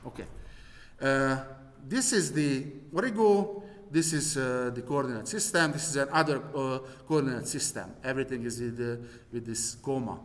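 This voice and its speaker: A Hungarian man with a Hungarian accent lecturing physics in English to university students, halting with many fillers and restarts and slipping in dry jokes. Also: mid-range voice. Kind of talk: live classroom lecture. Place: large lecture hall. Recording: echoey lecture hall with long reverb.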